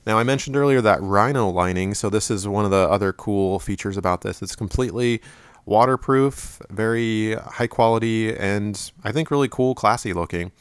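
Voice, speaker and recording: Low-voiced guy doing a chill home review, lots of ums, dry close sound. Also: moderate pace, clean tone, dry recording. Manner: relaxed, rambling with many fillers. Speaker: product reviewer. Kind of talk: casual product review.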